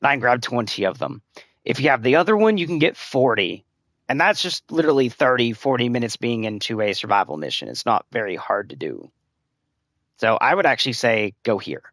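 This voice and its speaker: A man giving casual gameplay tips on a live stream, his voice a little hoarse and scratchy, talking in loose rambling runs full of "like" and "uh". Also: mid-range voice, very animated, fairly quick, a bit bright, dry recording, studio quality. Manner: rambling, filler-heavy advice-giving. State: slightly hoarse, losing voice. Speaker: game streamer.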